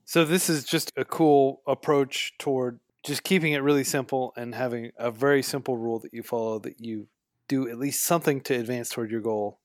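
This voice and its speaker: A man's voice: medium pitch, fairly even intonation, fairly quick, dry recording, clean studio sound. A man hosting a casual podcast interview, plainly recapping and rephrasing what he hears and asking questions.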